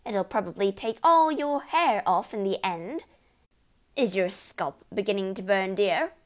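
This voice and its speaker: A woman's voice: medium pitch, expressive, measured pace, close to an everyday voice. A woman voicing a scornful, scolding storybook mother, exasperated and apt to shriek at her husband.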